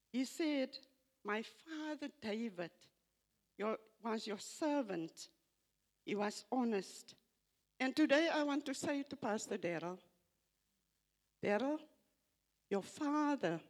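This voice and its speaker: An older woman with an Afrikaans accent, English not her first language, slowly reading scripture aloud and reflecting on it at a church gathering, halting and repeating phrases. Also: fairly high pitch. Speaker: church member giving a tribute.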